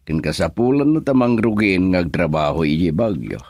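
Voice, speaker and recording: Older fatherly man, Filipino accent, low pitch, soft-spoken, hospitable, radio drama, dry sound. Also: animated, normal speed, no room sound. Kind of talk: Ilocano radio drama acting.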